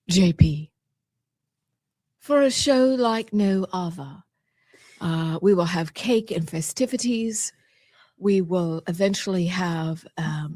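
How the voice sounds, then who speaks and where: A woman's voice: lowish voice, a little mellow, breathy edge, no room sound. A woman hosting a celebratory talk show in a playfully ceremonious manner, speaking with a put-on British accent. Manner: mock-formal, playfully ceremonious. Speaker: show host.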